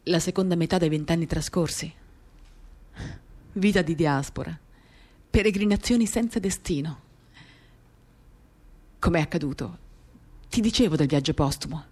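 A woman giving a dramatic reading of a literary first-person monologue, measured and expressive, in short phrases. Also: low-pitched, breathy edge. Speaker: reader of a novel for radio theatre. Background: acoustic guitar.

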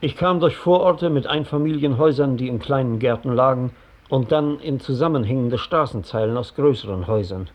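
An actor playing a reflective stranger who recounts his journey to police in a measured, thoughtful radio drama monologue. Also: mid-range voice, natural voice.

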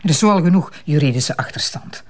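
An actress playing a self-assured, teasing magistrate with a Flemish accent, brisk and colloquial, in naturalistic radio drama. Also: female voice, low voice, lively intonation. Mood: firm, dry.

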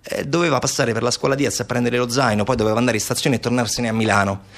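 A man's voice: mid-range voice, steady tone, fast talker, a bit bright. A man delivers urgent, insistent theatrical narration in the manner of documentary storytelling. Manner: urgent, insistent, repetitive staccato phrasing. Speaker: stage narrator, actor.

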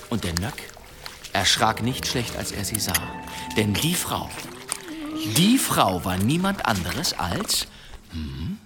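An actor playing a boastful monster hunter telling tales in an archaic, theatrical manner in an audio drama. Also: male voice, mid-range voice. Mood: suspenseful, gleeful. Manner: theatrical, dramatic pauses, savouring his tale. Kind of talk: German audio drama storytelling, archaic wording.

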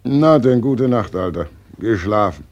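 An actor playing Death as a fat, morbidly jovial undertaker in a radio play, gravelly and very deep, offhand and poetic in manner. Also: male voice, animated, a little slow, darkish tone, lo-fi sound. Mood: breezy, dismissive. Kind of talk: post-war German radio play acting.

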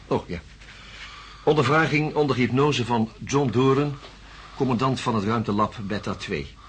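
An actor playing a measured, coaxing doctor in a radio drama, speaking in a deliberate, controlled way. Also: male voice, medium pitch, fairly bright tone, slight background noise, lightly acted. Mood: businesslike. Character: measured, coaxing male doctor conducting hypnosis. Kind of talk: radio drama narration and dialogue.

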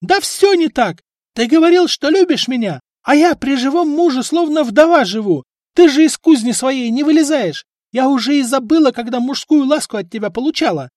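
A man voicing a sharp-tongued village wife who yells and scolds her husband in a storybook reading. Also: high voice, very animated, normal speed, dry recording, studio quality, voice pushed up. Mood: shrieking scolding. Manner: shrieking, scolding. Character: shrill scolding village wife, middle-aged.

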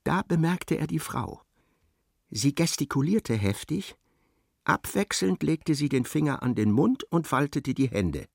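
A man's voice: on the high side, sing-song, unhurried, gravelly, breathy edge, dry recording, studio quality, exaggerated voice. A man narrating a literary radio play in a dramatic, scripted style.

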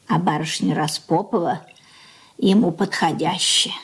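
An actress in a Soviet radio drama playing a worried, soft-hearted mother of a merchant household, sighing and fretful. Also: fairly low pitch, measured pace, breathy edge, heavily acted.

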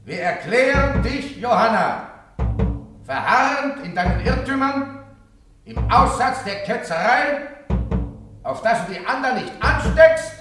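A man's voice: very high voice, animated, unhurried, pauses often, heavy reverb, recorded from a distance, over music. An actor playing a stern presiding churchman pronouncing judgment in a radio play, solemn and commanding. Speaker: judge.

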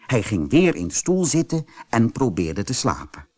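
A man narrating a children's fairy tale audiobook as an expressive, scripted storyteller. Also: mid-range voice, normal speed.

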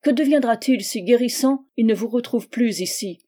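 A woman reading the part of an earnest young man, speaking with steady, measured persuasion in her own voice. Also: on the high side, natural voice. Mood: firm. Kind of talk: audiobook dialogue reading.

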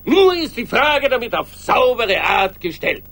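A politely self-important logician in a radio play. He lectures formally and precisely in a very high man's voice, taking his time over every phrase. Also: sing-song, a little slow, vocal fry, pushed and bright, heavily acted. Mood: lecturing. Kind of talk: 1980s German radio play acting.

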